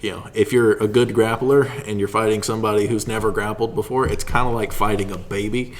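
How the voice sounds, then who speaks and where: Male podcast guest, lowish voice, loose rambling storyteller, slight background noise. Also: fairly even intonation, fairly quick. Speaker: podcast guest, martial artist. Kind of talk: casual podcast chat.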